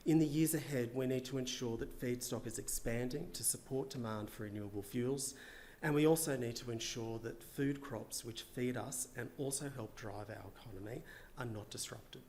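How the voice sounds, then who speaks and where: A man, a government official, giving a measured conference presentation with a slightly hoarse, tired voice and frequent filler words. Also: mid-range voice, darkish tone. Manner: measured, partly read remarks with frequent uhs. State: losing his voice.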